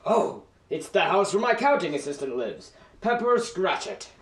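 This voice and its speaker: A man's voice: sits high, very animated, normal speed, fairly bright tone, reverberant. A man playing an anxious, put-upon miser in a Christmas radio play, with an uneasy, questioning manner. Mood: curious.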